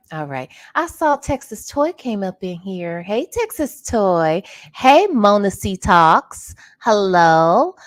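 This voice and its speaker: A woman hosting a casual livestream, chatting with her viewers while sounding congested and clearing her throat now and then. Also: mid-range voice. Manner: chatty, greets viewers by name. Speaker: livestream host.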